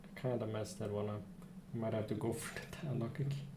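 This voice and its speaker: A man's voice: on the low side, level tone, fast. A man giving casual live gameplay commentary as a streamer, musing half to himself. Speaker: game streamer. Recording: roomy echo, clear background noise with keyboard clicks.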